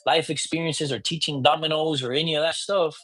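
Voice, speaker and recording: A man's voice: a little high, fairly even intonation, fairly quick, fairly bright tone. Casual man explaining, checking in often. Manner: informal, often checks in with "you get me?"; mixes in Spanish words. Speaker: priest teaching divination. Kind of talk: casual teaching talk.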